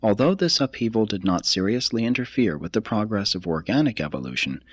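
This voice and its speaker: A man reads a religious text aloud as scripted narration, in a husky voice with a measured, formal and deliberate delivery. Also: fairly low pitch, fairly bright tone, dry recording. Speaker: audiobook reader.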